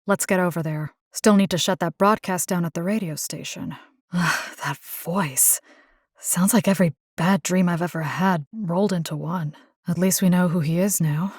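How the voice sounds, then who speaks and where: A woman's voice: on the low side, moderate pace, slightly rough, no echo. A tough young Puerto Rican woman engineer, recorded very dry and close. She is a wisecracking video game fighter with a sassy, slangy tongue that slips into Spanish. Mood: wry, weary. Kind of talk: video game character voice lines.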